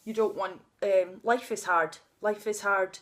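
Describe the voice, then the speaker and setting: A woman's voice: mid-range voice, steady tone, measured pace, a bit bright. A woman in her thirties with a stutter who blocks on first sounds, talking openly and emotionally in a personal vlog. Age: 30s. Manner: unscripted, emotional, laughing at times. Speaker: vlogger. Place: outdoors on a sunny day.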